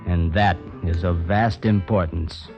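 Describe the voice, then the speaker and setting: A weary poet guitarist in a 1950s radio drama, speaking low and slow. The old broadcast sounds dull, and guitar music plays beneath him. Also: male voice, fairly even intonation, gravelly. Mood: somber. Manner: slow, somber, reflective.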